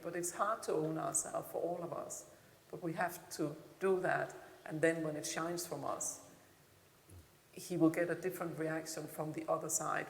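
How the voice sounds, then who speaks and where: A woman's voice: deep voice, gravelly. A middle-aged woman from Denmark with a Danish accent, speaking candidly and reflectively as a panel speaker, with dry humour. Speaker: keynote speaker. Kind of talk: answering audience questions at a panel. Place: large hall. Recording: echoey hall with faint ambience.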